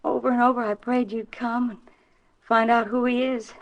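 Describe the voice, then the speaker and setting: An actress playing an anxious, pleading wife in a 1950s radio drama, speaking hesitantly and earnestly. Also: female voice, a little high, level tone, moderate pace, lightly acted. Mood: resigned, sad. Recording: dull vintage 1950s radio recording.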